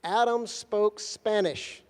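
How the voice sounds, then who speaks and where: A man giving a formal conference lecture in measured, deliberate phrases with gentle humor, pausing for an interpreter. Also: high voice, clean tone. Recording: long hall reverb.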